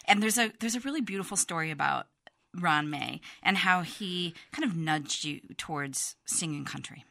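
Low, bright female radio host; measured, gentle interviewer; faint music bed. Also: gravelly, no room sound, clean studio sound. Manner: measured, gently prompting questions. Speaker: radio arts show host.

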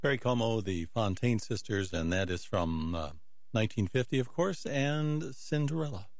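A man hosting a music radio show, casually announcing old records in an unhurried, musing way as if thinking aloud. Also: lowish voice, lively intonation, dry recording, studio quality.

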